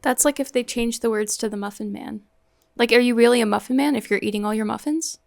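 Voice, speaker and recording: A woman co-hosting a casual reaction chat, with dry, quick jokes. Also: on the high side, vocal fry, a little mellow. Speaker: co-host.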